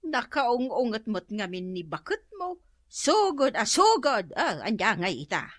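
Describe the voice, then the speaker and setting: A woman's voice: fairly high pitch, very animated, unhurried, dry recording, studio quality. A woman playing a nosy neighbor asking about gossip in a radio comedy drama. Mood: curious.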